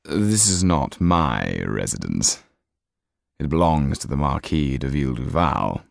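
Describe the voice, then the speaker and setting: Male reader plays a menacing nobleman: very low, slow, cold, dry close sound. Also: very animated, gravelly, no echo, heavily acted. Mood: contemptuous. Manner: slow, icy, contemptuous. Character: sinister cold aristocratic male count.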